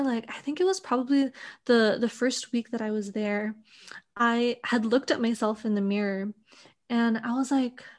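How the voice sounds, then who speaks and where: A woman's voice: medium pitch, darkish tone. A young woman from Hawaii telling her personal story in an interview, thoughtful and full of casual fillers. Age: young adult. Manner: thoughtful, many fillers like "like" and "you know".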